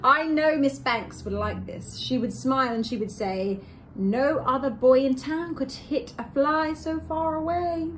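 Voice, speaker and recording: A woman's voice: high voice, a little slow, a little hiss or hum, poor audio. A young woman with a British accent reading a children's story aloud in a theatrical, exclamatory, genteel way. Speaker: co-host of children's read-aloud channel. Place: living room with piano, indoors.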